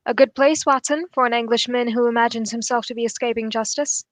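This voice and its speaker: Woman as keen male detective, high-pitched, formal, analytical, assured, dry close sound, radio drama. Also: fairly even intonation, quick pace, no echo, lightly acted.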